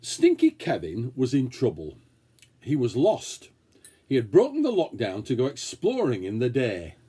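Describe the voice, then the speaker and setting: A man reading a children's story aloud, expressively and with dramatic pauses. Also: medium pitch, wildly swooping pitch, warm tone, husky. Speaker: story reader. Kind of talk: scripted children's story narration.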